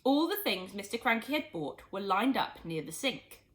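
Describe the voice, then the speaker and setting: A woman reading a children's storybook aloud in expressive, scripted narration. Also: medium pitch, moderate pace. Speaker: storybook reader.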